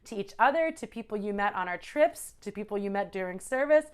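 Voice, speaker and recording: A woman's voice: medium pitch, lively intonation, brisk, clean tone. A woman speaking earnestly to graduates, listing ways they can stay connected. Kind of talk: address to graduates.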